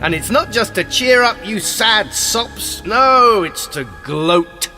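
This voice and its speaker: An actor playing a gloating, murderous villain in an audio drama, with theatrical, sneering, drawn-out delivery. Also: male voice, high-pitched, expressive, sharp tone, exaggerated voice. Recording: music under the voice.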